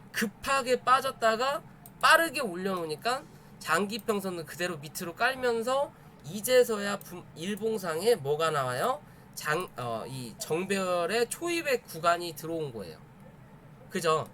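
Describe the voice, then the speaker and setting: A man's voice: sits high, pushed and bright, slight background noise. A man giving an informal live lesson as host, emphatic, repeating phrases and checking understanding with rhetorical questions. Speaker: host of a stock chart study stream. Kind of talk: informal live lesson, explaining stock charts.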